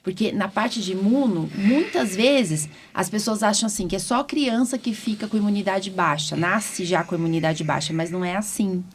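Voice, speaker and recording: A woman's voice: mid-range voice, moderate pace, few pauses. Woman, Brazilian accent, doctor and podcast host, conversational, frequent tag questions, noisy recording. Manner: informal, often ends phrases with tag questions. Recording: clearly noisy background.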